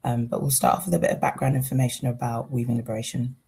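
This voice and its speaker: A woman from Zambia who leads a fund, calmly introducing an online information session on a video call. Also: very deep voice, fast, vocal fry, husky. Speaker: fund lead and facilitator.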